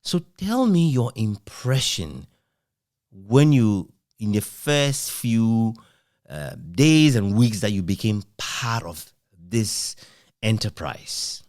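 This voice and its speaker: A man's voice: fairly low pitch, big pitch swings, takes it slowly, a little mellow, no room sound, studio quality. A man interviewing someone in a conversational style. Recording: thin phone-like sound.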